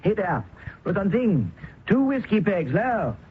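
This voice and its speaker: A man's voice: sits high, big pitch swings, measured pace. An actor playing an idealistic young male doctor who speaks earnestly and with passion in a radio drama. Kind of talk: 1970s radio drama acting. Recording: tinny old radio broadcast, faint hiss.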